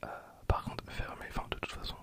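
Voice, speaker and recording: A man in his twenties whispering for an ASMR video, soft and hesitant with frequent fillers, speaking close to a recorder. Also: fairly quick. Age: 20s. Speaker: ASMR creator. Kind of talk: whispered ASMR card opening. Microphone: handheld recorder behind the camera.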